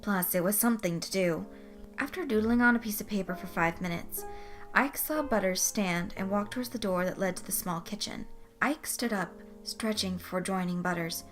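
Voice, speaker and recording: A woman's voice: mid-range voice, normal speed, soft background music. A woman reading scripted fan fiction as its narrator.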